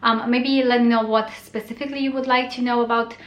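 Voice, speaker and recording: A young woman, a native Romanian speaker, chats to camera in a slightly high voice. She sounds friendly and loose, with plenty of "um"s. The sound carries a noticeable room echo. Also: flat delivery, brisk. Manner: chatty, friendly, many fillers. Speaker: blogger and YouTuber. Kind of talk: casual talk to camera. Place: bright bedroom, indoors.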